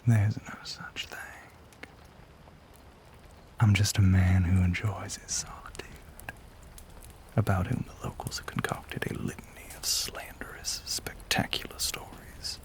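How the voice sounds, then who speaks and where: A man playing a lonely, brooding Southern gentleman vampire in an intimate audio roleplay, with a Southern US accent, a breathy voice and genteel, old-fashioned phrasing. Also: low voice, flat delivery, a little slow. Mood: dismissive, weary. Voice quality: breathy, whispery.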